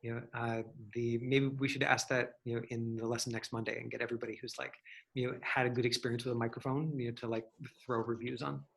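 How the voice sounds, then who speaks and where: A man's voice: medium pitch, level tone, quick pace, creaky, a bit bright, poor audio. Rambling man teaching an online acting class. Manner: rambling, hedging, thinking aloud. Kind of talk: casual online class question-and-answer.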